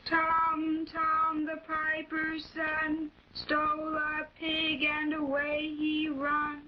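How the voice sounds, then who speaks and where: High, flat, childlike girl. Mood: vacant. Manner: slow, sing-song reciting. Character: childlike, detached deaf young woman reciting nursery rhymes. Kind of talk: late-1940s television drama acting. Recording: dull, noisy 1940s film soundtrack.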